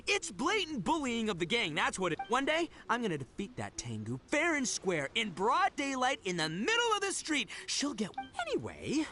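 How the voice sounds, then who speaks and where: An actor playing a brash, boastful, hot-blooded young gang boss in a video game, with a slangy, theatrical delivery. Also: male voice, very high-pitched, wildly swooping pitch, moderate pace, few pauses, sharp tone, soft background music, exaggerated voice. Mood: boastful, fired up. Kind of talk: video game character acting.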